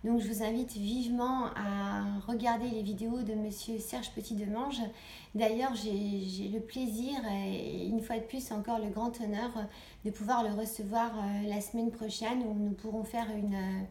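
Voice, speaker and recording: A woman's voice: mid-range voice, dark tone. A woman gives an informal political address to viewers, earnest and exhorting, repeating phrases for emphasis. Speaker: online video host. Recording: echoey room, clear background noise.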